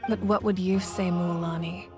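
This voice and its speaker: A woman playing a composed, authoritative war deity and leader in a video game, speaking in a measured, serious way. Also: on the low side, fairly even intonation, natural voice. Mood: composed, grave. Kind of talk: video game character acting. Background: music.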